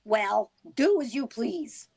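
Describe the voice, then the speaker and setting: A woman's voice: fairly high pitch, expressive, slow pace, gravelly, a little mellow, breathy edge, exaggerated voice. A woman playing a stubborn, worried working-class wife in a vintage-style radio drama, speaking in an insistent, colloquial way.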